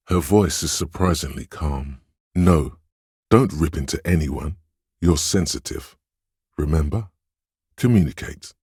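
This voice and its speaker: A very deep, heavily rasping inner voice from a narrative video game. It coaxes and advises with measured, deliberate drama, intimate and insinuating, in a dry, close sound. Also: male voice, a little mellow, no echo, heavily acted. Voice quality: deep, gravelly, husky. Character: smooth, insinuating inner voice of social intuition, coaxing, intimate. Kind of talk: scripted game narration as an inner voice giving social advice.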